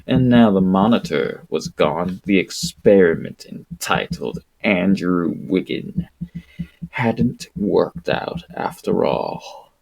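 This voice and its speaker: A man streaming solo, reading aloud and chatting casually in an unhurried, rambling, wry manner. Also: low voice, lively intonation, gravelly, darkish tone, rough recording. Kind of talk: audiobook-style reading and casual livestream chat.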